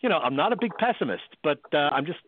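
An American man co-hosting casual sports radio, talking in a measured, explanatory way. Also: fairly high pitch, very animated, dry recording. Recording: dry, phone-like narrowband radio sound.

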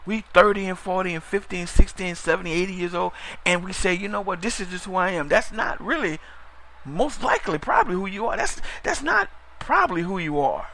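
A bright, forceful male preacher with a somewhat high voice. He repeats his points, calls for an amen, and now and then trips over his words or rushes ahead. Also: lively intonation, gravelly. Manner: emphatic, repeats phrases, call-and-response "Amen?"; stumbles over words, drifts into fast talk. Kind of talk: broadcast sermon.

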